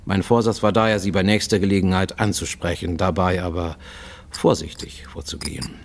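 A man's voice: deep voice, steady tone, fairly quick, creaky. An actor playing a wary, greying Japanese man in hiding abroad, confiding in a formal, insistent way in a radio play monologue. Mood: agitated, cautious. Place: studio radio production.

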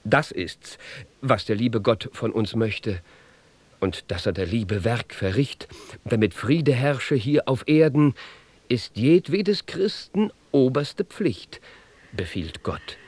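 Pious lovestruck knight, torn by conscience, slow declamatory verse for radio drama, dry close sound. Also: male voice, medium pitch, animated, a little mellow, no room sound. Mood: devout, tender. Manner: declamatory, solemn, fervent.

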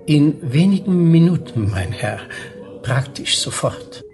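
An actor playing a stiff, snooty head waiter in a radio play, with icily formal and condescending politeness. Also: male voice, a little high, lively intonation, slow, a little mellow, faint music behind. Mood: icily dismissive. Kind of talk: German radio play acting.

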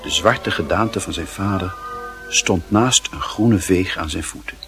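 A man's voice: low voice, measured pace, a bit bright, soft background music. A man reading scripted literary narration as the narrator of a radio drama.

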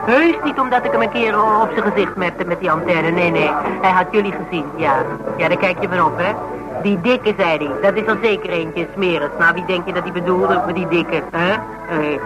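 A woman's voice: fairly low pitch, natural voice, poor audio. An actress playing a shrewd, sceptical woman sleuth in a radio play, wry and needling in everyday speech. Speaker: radio actor. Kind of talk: naturalistic radio play dialogue.

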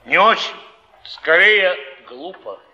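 A proud, tipsy jailbird philosopher on a 1950s Russian theatre stage. He declaims in a high, piercingly bright voice, emphatic and fervent, with weighty pauses. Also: lively intonation, highs cut off, exaggerated voice. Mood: firm, musing.

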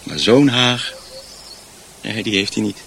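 A man's voice: lowish voice, expressive, slow, fairly bright tone, a little hiss or hum, full character voice. An actor playing a chatty, fussy middle-aged husband in a radio drama, proud of his home and often stammering and repeating himself. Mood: proud, talkative. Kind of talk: Dutch radio drama acting.

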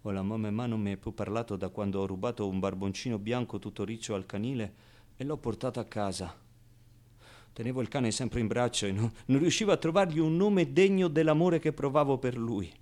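An actor playing a naive, wistful, streetwise ten-year-old orphan in an expressive, halting radio monologue. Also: male voice, medium pitch, lightly acted. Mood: wistful, tender. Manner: expressive, halting, conversational storytelling with rhetorical tags. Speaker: solo actor.

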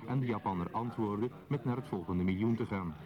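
A man's voice: fairly low pitch, brisk, muffled recording, close to an everyday voice. A man narrating a radio drama in a formal, scripted documentary style. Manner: formal, reporting. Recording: crowd murmur behind voice.

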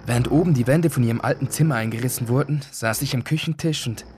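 A man with a medium-pitched voice narrates a radio play in the first person. His delivery is plain and conversational, like someone recounting his own memories. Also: fast, darkish tone, slight background noise. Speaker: actor narrating as a son.

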